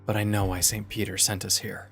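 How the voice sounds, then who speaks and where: A man playing a calm, decisive companion who speaks in a measured, matter-of-fact way. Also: lowish voice, vocal fry, soft background music. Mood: calm, reassuring. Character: calm, decisive male companion offering practical help.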